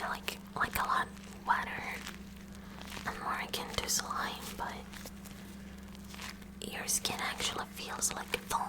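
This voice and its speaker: A woman voicing a kooky, eager high school science club president in a whispered ASMR roleplay, rambling and halting in a nerdy way as she addresses the listener. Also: natural voice, poor audio. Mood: curious, intent.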